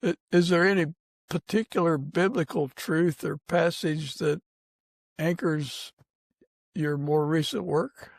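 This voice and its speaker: A man with a fairly high voice and noticeable creak hosts an interview podcast. He speaks very slowly and deliberately, setting out each phrase with care. The sound is dry and close. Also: dry recording. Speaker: podcast host. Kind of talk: conversational interviewing.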